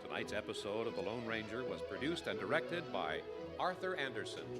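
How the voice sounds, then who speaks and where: A man's voice: on the low side, sing-song, fairly quick. A man reading scripted credits as an announcer in old-time radio style. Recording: live stage, loud music and crowd.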